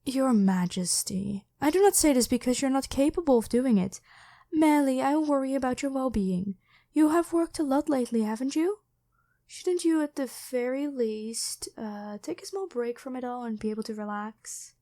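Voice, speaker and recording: A devoted and protective female knight who heads the royal guard, acted by a woman in an ASMR roleplay. Her voice sits a little high with a very mellow, soft tone. She speaks formally and with deference, as if to a monarch, and the recording is close and dry. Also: lively intonation, moderate pace, dry recording. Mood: gently worried, pleading. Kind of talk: scripted ASMR roleplay acting.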